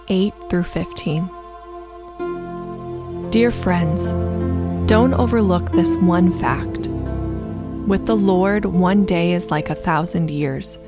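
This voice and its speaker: A woman giving a solemn, measured reading of scripture, phrase by deliberate phrase. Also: fairly low pitch. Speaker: scripture reader. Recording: soft new-age music underneath. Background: instrumental new-age music.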